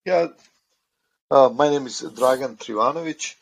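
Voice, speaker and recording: A middle-aged man from Croatia, a guest speaking haltingly in a podcast interview, often pausing to search for words. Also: mid-range voice, breathy edge, poor audio. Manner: halting, searching for words in non-native English. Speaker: guest, asset condition manager.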